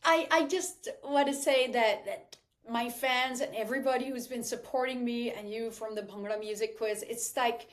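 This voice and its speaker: A woman whose first language is Danish speaks in a somewhat high voice as a guest on a podcast. She talks about her music in an earnest, heartfelt way and often restarts her sentences. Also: normal speed, few pauses. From Denmark. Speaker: singer-songwriter guest. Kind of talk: podcast interview.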